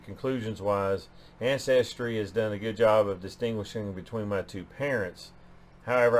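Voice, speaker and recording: A man hosting a genealogy channel, talking through his DNA numbers in a loose way, often restarting phrases. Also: fairly low pitch. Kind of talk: explaining DNA results on screen.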